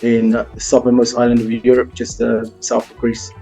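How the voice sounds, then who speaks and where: A man with a South London accent, a football agent answering interview questions over a video call in a relaxed, rambling way, full of ums and you-knows. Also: medium pitch, moderate pace, vocal fry, soft background music. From England.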